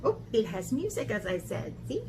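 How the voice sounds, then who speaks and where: A woman's voice: fairly high pitch, very animated, normal speed. A woman hosting a children's art camp, talking casually and chattily to young viewers. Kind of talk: casual talk to children, read-aloud. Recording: clear background noise.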